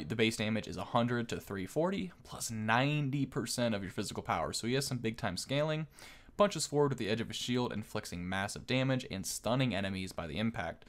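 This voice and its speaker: A man with a fairly low voice hosts a gaming video. His commentary is casual and conversational, and he explains as he goes like someone talking a viewer through the details. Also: fairly bright tone.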